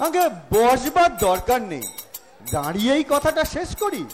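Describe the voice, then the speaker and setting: A man playing a meek, miserly, pious elderly husband with sanctimonious, drawn-out phrases, in a staged comic audio drama. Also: extremely high pitch, sing-song, soft background music. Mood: unctuous, humble. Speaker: amateur actor, schoolteacher.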